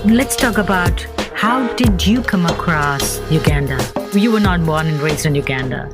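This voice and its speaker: A woman's voice: lowish voice, lively intonation, normal speed, over loud music. A woman hosting a podcast, asking questions in an inquisitive, affirming way. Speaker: podcast host. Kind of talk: interviewing guest.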